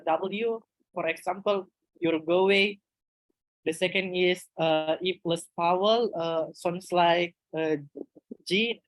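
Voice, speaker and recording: A woman moderating an online class, reading a lesson summary in a hesitant, halting way with many fillers. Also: on the low side, no room sound, rough recording. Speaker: student moderator.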